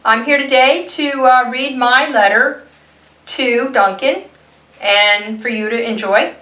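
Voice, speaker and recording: Timid, stubby little storybook crayon, woman performer; hesitant and polite, high-pitched, echoey room. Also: takes it slowly, sharp tone. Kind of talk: children's storybook read-aloud. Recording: roomy echo, distant mic.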